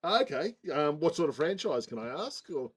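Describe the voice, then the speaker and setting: A man's voice: on the high side, big pitch swings, moderate pace, breathy edge. A man with an Australian accent hosting a casual group video call, chatting in an informal, chummy way. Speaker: host of networking call.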